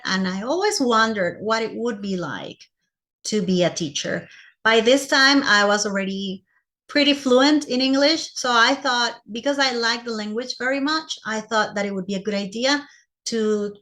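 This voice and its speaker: A woman's voice: mid-range voice, bright, forceful tone. A woman from Costa Rica with a Costa Rican Spanish accent in English, speaking as a teacher giving a personal presentation to fellow teachers.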